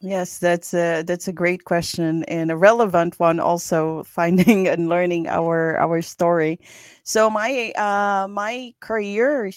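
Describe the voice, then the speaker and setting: Podcast guest: woman from the Philippines, low-pitched, earnest and reflective, close dry mic. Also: dry recording. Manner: earnest, reflective, laughs lightly between thoughts. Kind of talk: interview answers.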